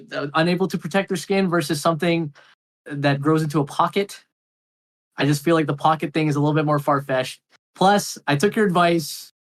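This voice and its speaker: A contestant on a comedy podcast works through an argument out loud. The delivery is halting and full of ums and uhs. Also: mid-range voice. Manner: hesitant, with many fillers when arguing. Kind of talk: casual comedy podcast chat.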